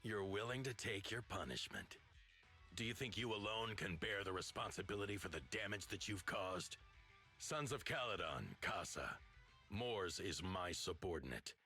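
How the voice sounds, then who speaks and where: An actor playing a stern, dignified overlord of a motor league in a video game, speaking in a commanding, formal way. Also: male voice, deep voice, lively intonation, moderate pace, pushed and bright, soft background music, exaggerated voice. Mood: stern, reproachful.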